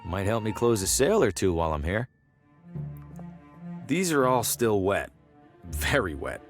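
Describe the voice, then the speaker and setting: A man's voice: fairly low pitch, big pitch swings, a little slow, faint music behind. A man playing a curious, hesitant traveling salesman in a video game, with a wry way of talking. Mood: wry musing. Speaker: protagonist character. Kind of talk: video game voice acting, dialogue and musing asides.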